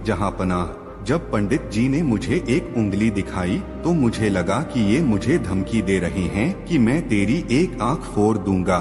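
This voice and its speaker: A man putting on a witty courtier who answers with polite, innocent-sounding cunning. The low voice moves through a wide, lively range. It echoes through a long hall reverb, with music underneath. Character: witty, sly male royal courtier.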